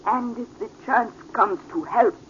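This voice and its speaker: High-voiced Italian madame, old radio. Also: female voice. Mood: bitter, pleading. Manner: theatrical, deliberate, formal foreign phrasing. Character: worldly Italian woman, mature, passionate, secretly protective mother. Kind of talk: 1940s radio drama acting. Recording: muffled, noisy vintage radio recording.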